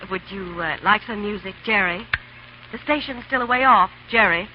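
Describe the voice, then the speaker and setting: Actress as a quick-witted, teasing young woman, lo-fi old broadcast. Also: medium pitch, natural voice. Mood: warm. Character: breezy, wisecracking young woman. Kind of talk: 1930s radio drama acting. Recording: dull old radio broadcast recording with faint hiss.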